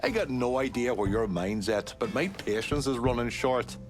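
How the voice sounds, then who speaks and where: A man's voice: mid-range voice, lively intonation, normal speed, faint music behind, natural voice. A man acting an impatient, foul-mouthed mobster in a video game, blunt and cocky. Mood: impatient, irritated.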